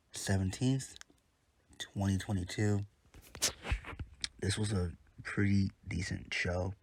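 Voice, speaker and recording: Low stuffy-voiced man with a cold. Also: darkish tone, no echo. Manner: laid-back, rambling, frequent ums and uhs, swears casually. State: congested from a head cold. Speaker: wrestling show reviewer. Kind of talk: casual solo review.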